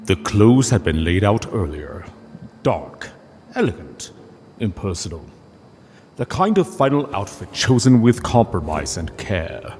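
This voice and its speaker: A man reading a literary story as narrator, solemn and deliberate, with dramatic pauses. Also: low voice, sing-song, a little mellow, long reverb tail, a little hiss or hum.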